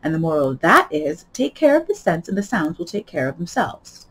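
A woman's voice: lowish voice, big pitch swings, brisk, fairly bright tone, slightly rough, exaggerated voice. A woman voicing a pompous older noblewoman in a storybook, gushingly agreeable, with emphatic, sententious pronouncements. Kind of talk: storybook character voice.

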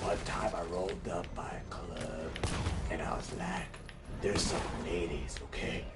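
A man voicing a boastful, crude, dim-witted parody Batman in comic game commentary, with a forced gravelly voice that breaks into shrill yells. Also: sing-song, a little slow. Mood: swaggering. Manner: bragging, sweary, childish, theatrical. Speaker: gaming video performer in character. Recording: roomy echo with light background noise.

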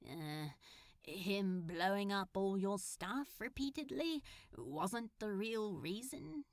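A woman's voice: medium pitch, lively intonation, slow, dry recording, studio quality. A woman voicing a desperate, flustered captured male superhero in a storybook reading, stammering and blurting with sarcastic edges. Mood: wry, subdued. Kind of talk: acted fan fiction audiobook reading.

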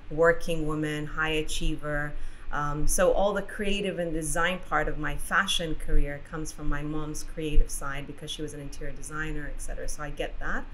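A woman's voice: low-pitched, slight background noise, poor audio. A middle-aged woman from Iraq raised in the UK, speaking as an effusive podcast guest in a studio. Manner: warm, effusive, praises family with repeated superlatives. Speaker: guest, business executive. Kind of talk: conversational podcast interview. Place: podcast studio with framed posters. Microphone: studio mic on boom arm.

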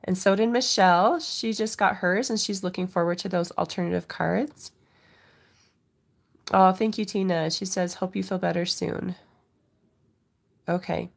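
A woman's voice: fairly low pitch, steady tone, measured pace, creaky, long pauses. Stuffy-voiced woman with a cold. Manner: apologetic, chatty. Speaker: crafting livestream host. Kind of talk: casual live unboxing chat. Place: home craft desk.

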